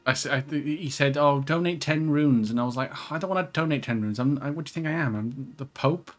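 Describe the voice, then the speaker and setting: A man's voice: on the high side, quick pace, faint music behind. A man chatting casually in a rambling, jokey, sweary way as he plays a co-op video game. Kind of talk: casual co-op let's play chat.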